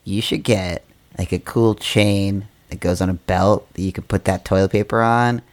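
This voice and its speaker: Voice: sits low, fairly even intonation, normal speed. A podcast co-host chatting in an easygoing, hesitant way with many fillers. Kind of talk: casual chat. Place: small recording studio.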